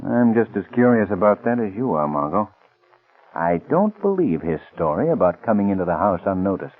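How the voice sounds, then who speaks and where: A man acting a suave gentleman detective in a 1940s radio drama, polished, inquisitive and deliberate. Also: fairly low pitch, big pitch swings. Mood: musing. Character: suave, probing amateur detective, calm adult gentleman. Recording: tinny vintage radio recording.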